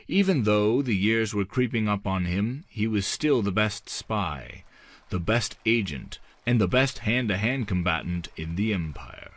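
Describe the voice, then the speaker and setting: Male audiobook narrator, low register, expressive and varied intonation, measured dramatic pacing for gothic fantasy, dry studio-like sound. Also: no room sound.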